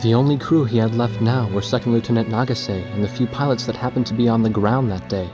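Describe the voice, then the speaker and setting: An actor playing a thoughtful war photojournalist, narrating past events in a measured, reflective way for a game. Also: male voice, mid-range voice, steady tone, over music, lightly acted. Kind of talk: scripted cinematic narration.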